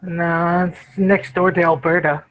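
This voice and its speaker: A woman's voice: fairly low pitch, flat delivery, measured pace, rough recording. A woman in her thirties chatting casually in an online group, self-mocking and easygoing. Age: 30s. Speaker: livestream chat participant.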